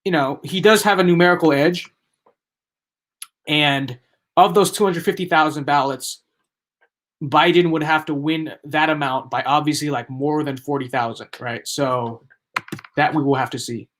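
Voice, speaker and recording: Young man, hoarse-edged stream commentary. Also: on the high side, fairly even intonation, normal speed, pauses often, fairly bright tone. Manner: rambling, emphatic, repeats himself. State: losing his voice. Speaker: livestream political commentator.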